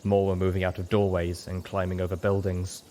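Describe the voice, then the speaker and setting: A man's voice: deep voice, completely flat delivery, a little fast, mellow, a little hiss or hum. A man reading a story as a dramatic, scripted narration.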